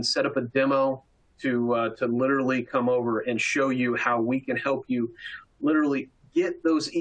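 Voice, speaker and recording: A man finishing a vendor pitch at a virtual event, with loose, filler-filled speech. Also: rough recording. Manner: loose, filler-heavy, repeats words. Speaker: vendor presenter. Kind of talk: closing remarks at virtual event.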